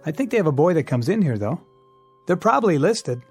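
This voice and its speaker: Laid-back folksy american bike shop guy, voice a bit high n bouncy, radio drama. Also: sing-song, a little fast, a little mellow, breathy edge, full character voice. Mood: mildly curious. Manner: laconic, folksy. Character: easygoing, folksy small-town bicycle repairman. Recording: studio radio drama with music bed.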